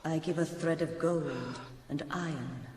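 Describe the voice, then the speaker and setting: A man's voice: fairly high pitch, measured pace, darkish tone. A man voicing a solemn, cryptic spirit of destiny in an audio drama, oracular and drenched in echo. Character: ancient Fate, cryptic spinner of destinies, solemn, otherworldly. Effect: heavy echo. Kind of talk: historical audio drama acting. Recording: very echoey, distant, slight noise.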